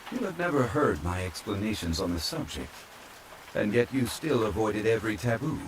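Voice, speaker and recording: A man playing a calm, ancient, scholarly immortal in human form, speaking formally and with measured courtesy in a soft ASMR roleplay. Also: fairly low pitch, a little hiss or hum, natural voice, rough recording. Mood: calm, musing. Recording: steady rain sound laid behind voice. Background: rain falling.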